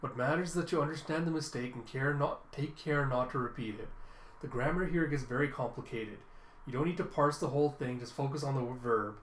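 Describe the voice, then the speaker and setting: A man voicing a proud, excitable young secret policeman and tutor from a cartoon, condescending and theatrical in manner. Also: medium pitch, brisk, a little hiss or hum, natural voice. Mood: earnest, lecturing. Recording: roomy, echoing room sound.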